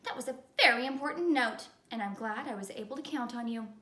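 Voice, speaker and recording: A woman voicing a brisk, firm, easily exasperated female schoolteacher in a storybook reading. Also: a little high, pushed and bright. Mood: approving, firm. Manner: firm, instructing. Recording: echoey room.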